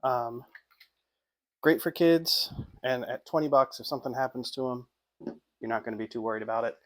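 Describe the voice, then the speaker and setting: Man, mid-pitched voice, casual product reviewer, informal, off-the-cuff unboxing narration. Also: fairly even intonation, moderate pace.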